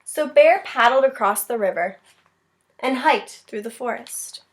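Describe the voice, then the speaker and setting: A woman with a fairly high voice speaks as a teacher reading a picture book to her class. She moves between narrating the story and explaining it in simple terms for young children. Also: unhurried. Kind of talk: storybook read-aloud with explanatory asides.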